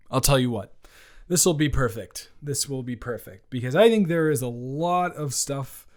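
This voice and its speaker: Man playing a slow, halting, earnest ex-boyfriend. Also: medium pitch, lively intonation, darkish tone, natural voice. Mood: awkward, playful. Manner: halting, stammering, with long pauses. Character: awkward, earnest, teasing young ex-boyfriend trying to reconcile. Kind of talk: improvised romantic audio roleplay, addressed to the listener.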